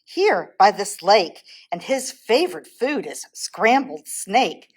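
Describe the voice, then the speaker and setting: A woman's voice: mid-range voice, sing-song, slow pace, husky, full character voice. A woman voicing a plucky, sly little mouse in a children's storybook, polite, confident and teasing. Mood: boastful.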